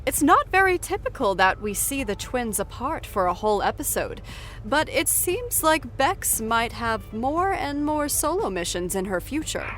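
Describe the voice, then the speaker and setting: Animated, high-voiced woman hosting an after-show. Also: moderate pace, soft background music. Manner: theatrical, teasing. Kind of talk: playful scripted talk-show hosting.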